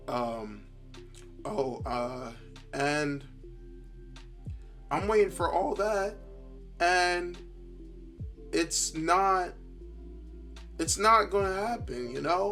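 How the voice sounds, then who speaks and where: Tired, higher-voiced man rambling over music. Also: a bit bright. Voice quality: tired, worn-out voice. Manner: halting, rambling, self-reflective. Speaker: podcast host. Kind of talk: casual solo monologue, thinking aloud.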